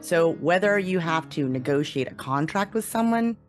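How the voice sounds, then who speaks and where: A woman's voice: fairly low pitch, lively intonation, moderate pace. Woman hosting a podcast over faint music. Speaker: podcast host.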